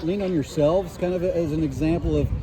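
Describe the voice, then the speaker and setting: Quick-talking man asking press questions. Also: on the high side, steady tone, a crowd in the background. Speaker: reporter.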